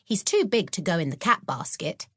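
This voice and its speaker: A woman's voice: fairly low pitch, sing-song, moderate pace, breathy edge, no echo, studio quality. A woman voicing a cheerful young schoolgirl witch with a bubbly, exclamatory manner, in a children's audiobook. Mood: delighted. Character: cheerful, giggly schoolgirl witch, kindly best friend. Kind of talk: children's audiobook character acting.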